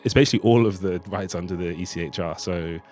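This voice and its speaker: A man's voice: sits low, quick pace, darkish tone. A man giving a thoughtful, discursive interview as a writer, speaking in a reflective conversational way. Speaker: writer of a radio play, interviewee.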